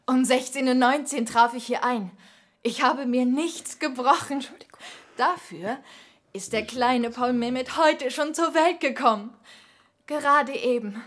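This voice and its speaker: A woman's voice: high-pitched, a little slow, fairly bright tone. An actress in a radio play voicing a matter-of-fact new mother in her late twenties. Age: 20s. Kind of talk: radio drama monologue.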